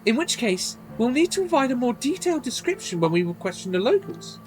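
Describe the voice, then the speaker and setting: A man voicing an earnest young gentleman scholar from a game, formal, measured and a little pompous. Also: very high voice, sing-song, faint music behind, voice pushed up. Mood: earnest, reasoning. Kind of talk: reading game dialogue in character.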